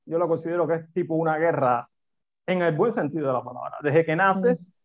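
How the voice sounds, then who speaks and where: A man's voice: on the high side, a little fast. Cuban man on a tinny remote line. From Cuba. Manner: animated, talks in repeated short phrases. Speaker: podcast guest, entrepreneur. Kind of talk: conversational interview.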